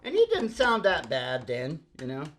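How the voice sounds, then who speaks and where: A man's voice: fairly high pitch, wildly swooping pitch, normal speed, a bit bright, a little hiss or hum. An older man hosting a music show, chatting casually and rambling in a folksy, opinionated way. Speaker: host of an amateur radio-style music show. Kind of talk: casual rambling chat between songs. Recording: acoustic guitar behind the voice. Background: acoustic guitar.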